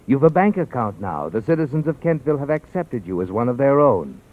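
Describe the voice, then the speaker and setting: An actor playing a brooding narrator who addresses the hero in an old radio suspense tale. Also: male voice, medium pitch, big pitch swings, fairly quick. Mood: measured suspense. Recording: tinny old radio broadcast, faint music.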